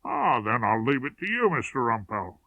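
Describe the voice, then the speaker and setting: A man's voice: medium pitch, sing-song, moderate pace, no room sound. Thin radio-like sound frames a male clerk, put on by a man reading. He is deferential, matter-of-fact and chummy, an audiobook character with very little room around the voice. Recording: narrowband, tinny radio-like sound.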